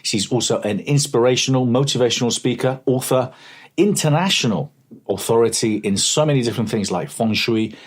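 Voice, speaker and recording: A man's voice: medium pitch, fairly quick, breathy edge. A man hosting an interview show, introducing and questioning his guest.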